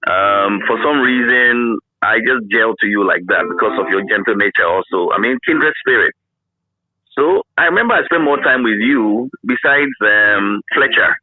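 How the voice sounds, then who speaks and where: A man's voice: medium pitch, normal speed, no room sound. A middle-aged man reminiscing as an interview guest in casual conversation, effusive and emphatic, often repeating his phrases. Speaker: interview guest, former radio broadcaster. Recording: thin, narrow phone-like line.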